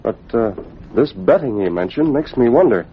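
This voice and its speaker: An actor playing an earnest, assured young newspaper publisher in old-time radio drama. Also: male voice, medium pitch, lively intonation, normal speed, lightly acted. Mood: serious. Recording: dull old radio recording, faint noise.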